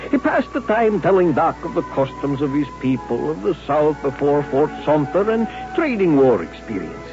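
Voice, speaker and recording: An actor narrating an old radio western in a scripted storytelling manner. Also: male voice, a little high, sing-song, moderate pace. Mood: narrative. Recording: dull old-time radio recording. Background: music.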